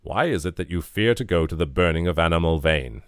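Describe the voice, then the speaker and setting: A man's voice: deep voice, animated, fairly quick, clean tone, no echo, studio quality. A man playing a stern, lordly old churchgoing man who talks as if preaching. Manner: pompous, preachy.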